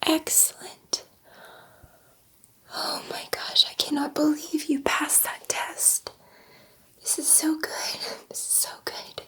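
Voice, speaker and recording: Woman playing a gentle whispering alien scientist. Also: high-pitched, completely flat delivery. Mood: delighted, hushed. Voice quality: soft breathy whisper. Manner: soothing, slow, repeating words reassuringly. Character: gentle, excitable female alien scientist soothing an abducted human. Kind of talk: ASMR roleplay.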